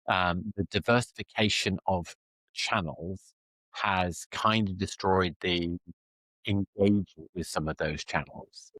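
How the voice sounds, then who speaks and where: Musing man with a low voice. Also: a bit bright, dry recording, poor audio. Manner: musing, discursive. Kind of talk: podcast conversation.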